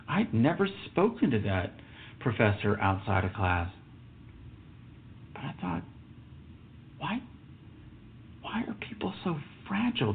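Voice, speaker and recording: Man telling a story over a tinny line. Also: mid-range voice. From Texas. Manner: reflective and emphatic, drops to a whisper for asides. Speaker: podcast host. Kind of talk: solo podcast storytelling monologue. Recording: tinny phone-like sound.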